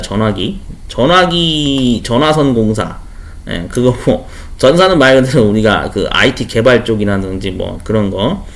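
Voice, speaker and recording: Chatty male instructor with very lively pitch. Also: slight background noise. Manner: chatty, explains with everyday examples and asides; repeats key terms for emphasis. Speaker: online instructor for a certification exam. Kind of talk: informal exam-question walkthrough lecture.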